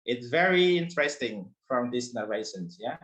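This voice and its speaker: A man presenting research at an academic forum, pausing often with fillers and restarting his sentences. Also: mid-range voice, expressive, a bit bright, rough recording. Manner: hesitant, many fillers and restarts.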